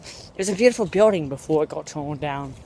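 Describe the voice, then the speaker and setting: A young American man puts on a jokey fake British accent as he wanders around a school campus outdoors. He rambles loosely, filling gaps with fillers and correcting himself, and his voice sits a touch high for a man. Light outdoor sound and faint passing traffic hum behind him on a phone recording. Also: animated, brisk, darkish tone. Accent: American speaker putting on a mock British accent. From United States. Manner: jokey and meandering, full of fillers and self-corrections. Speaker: vlogger host. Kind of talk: rambling walk-and-talk vlog.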